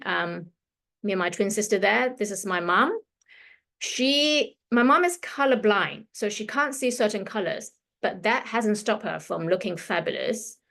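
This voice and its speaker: A woman's voice: mid-range voice, creaky, fairly bright tone. Woman, 50s, Hong Kong-London accent, chatty stylist giving a workshop over a computer mic. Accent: Hong Kong and London English. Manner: chatty, loose, with fillers and restarts. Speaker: fashion stylist leading a workshop. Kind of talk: casual presentation to an audience.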